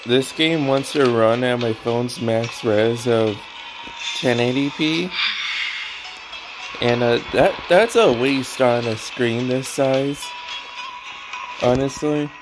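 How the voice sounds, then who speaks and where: A man giving casual, halting commentary as he plays a video game. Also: medium pitch, rough recording. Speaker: gaming streamer. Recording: loud game music behind. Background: video game music and dialogue.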